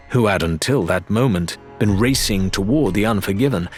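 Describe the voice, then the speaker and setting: A man reading a dramatic adventure audiobook as narrator, in scripted storytelling style. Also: fairly low pitch.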